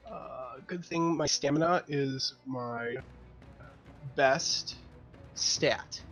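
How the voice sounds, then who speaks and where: A man's voice: mid-range voice, drawn-out words, a bit bright. A man talking casually as a player during a tabletop roleplaying game. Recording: slightly dull lo-fi mic, music behind.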